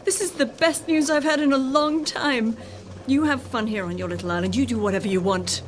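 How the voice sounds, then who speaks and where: An actress playing a sardonic, demanding, discontented wife in a radio drama, with a needling manner. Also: female voice, high-pitched, fairly quick, slight background noise, close to an everyday voice. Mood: scornful, impatient. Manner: sarcastic, needling.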